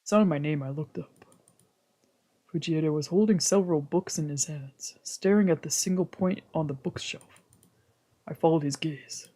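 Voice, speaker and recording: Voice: medium pitch, normal speed, mellow. Streamer reading a visual novel aloud in first person, with whispered asides; mid-range voice. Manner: reads narration in first person, translating lines, with whispered asides. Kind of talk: reading game narration aloud.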